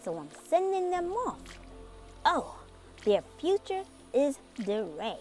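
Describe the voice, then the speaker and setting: A woman voicing a small, indignant male forest creature in a children's storybook, scolding and plaintive with deliberate phrasing. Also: high voice, big pitch swings, darkish tone, soft background music, voice pushed up, full character voice. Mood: mournful. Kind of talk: children's storybook read-aloud.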